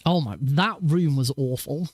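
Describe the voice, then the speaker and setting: Higher-voiced man chatting on a livestream. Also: a little slow, a little mellow, dry recording. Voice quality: naturally high voice for a man. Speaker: livestreaming speedrunner and host. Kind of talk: casual livestream chat over gameplay.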